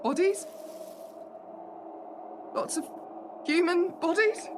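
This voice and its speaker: A woman's voice: very high-pitched, takes it slowly. Woman acting shaken young woman, noisy recording. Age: young adult. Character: shaken, suspicious young woman, assistant hotel manager. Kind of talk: radio play acting. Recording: noisy recording with background ambience.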